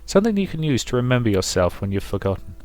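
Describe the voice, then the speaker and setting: A man voicing a stern, weary, bookish gentleman in a visual novel, measured, curt and reserved. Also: medium pitch, very animated, no echo, soft background music, close to an everyday voice. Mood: stern, subdued.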